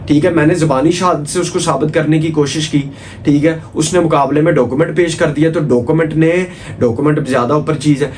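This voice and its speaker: Higher-pitched Pakistani man lecturing over noise. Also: darkish tone. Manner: didactic, repeats key points with frequent check phrases. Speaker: law lecturer. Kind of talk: informal explanatory exam lecture mixing Urdu with English legal terms.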